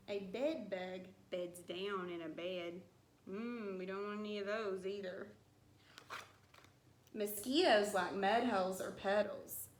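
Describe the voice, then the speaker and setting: Woman, primary teacher, children's read-aloud, singsong rhymes, unhurried delivery, echoey room, faint background noise. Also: mid-range voice, bright, forceful tone. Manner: deliberate, singsong storybook phrasing with playful asides. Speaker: first-grade teacher reading aloud. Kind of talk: read-aloud of a rhyming picture book for young children. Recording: roomy echo with some background noise.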